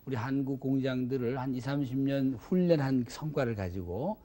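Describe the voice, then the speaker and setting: A man's voice: mid-range voice, normal speed, dark tone. A man speaking as an expert, explaining in an interview.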